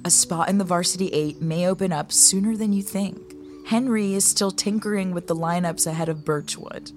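A woman's voice: on the low side, normal speed, a little mellow, soft background music, natural voice. A woman playing a concerned, frank young college rowing mentor in a naturalistic audio drama. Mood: encouraging. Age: young adult. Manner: frank, earnest.

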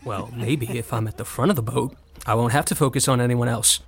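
A man's voice: medium pitch, steady tone, a little fast, gravelly, slightly rough. An actor playing a privileged, wry young male college student who narrates and talks in an audio drama, casual and dry. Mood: evasive, sarcastic. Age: young adult.

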